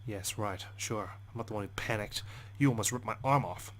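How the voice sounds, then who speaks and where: A man's voice: on the low side, fairly quick, fairly bright tone, a little hiss or hum. A man hosting a let's play, reading visual novel narration expressively with side comments and frequent swearing.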